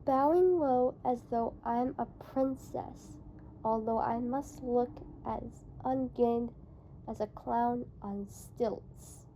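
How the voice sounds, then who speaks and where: Slow, halting woman reading aloud. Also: a little high, dark tone, slight background noise, rough recording. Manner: halting delivery, rereads stumbled phrases. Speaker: amateur book reader. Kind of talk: read-aloud of a verse novel.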